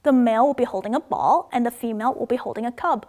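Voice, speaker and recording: A woman from Macau, raised in Australia, narrates a scripted lesson on word origins. Her voice sits high and she delivers it in an upbeat, playful way, with sudden excited jumps in pitch. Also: fast. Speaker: language video host.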